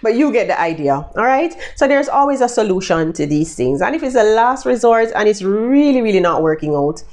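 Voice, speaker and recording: A woman's voice: medium pitch, very animated, a little fast, hardly any pauses, darkish tone. A Jamaican woman giving casual, chatty tutorial tips to her viewers, upbeat and addressing them directly. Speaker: vlogger giving freelancing tips.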